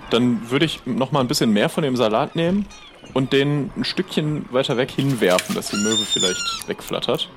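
A man's voice: medium pitch, wildly swooping pitch, moderate pace, noisy background. A man role-playing casually in an improvised adventure game, tentatively thinking aloud as a player.

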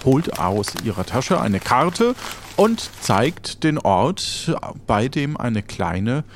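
Hesitant, stammering man, average-to-low pitch. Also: big pitch swings, slow pace. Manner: hesitant, stammering, repeats words. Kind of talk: improvised roleplay, narrating and speaking in character. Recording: faint background noise.

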